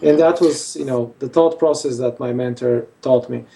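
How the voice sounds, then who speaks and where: Man, surgeon, gravelly, mellow tone, hesitant with fillers, far from mic. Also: mid-range voice, normal speed. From Bulgaria. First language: Armenian. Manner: hesitant, many fillers and restarts. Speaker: facial plastic surgeon. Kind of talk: interview answers.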